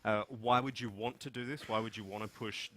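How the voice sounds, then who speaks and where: A man's voice: on the low side, fairly even intonation, brisk, bright, forceful tone. A man with an Australian accent giving an informal conference presentation as one of the speakers. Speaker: conference speaker, software development manager. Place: meeting room with seated audience, indoors.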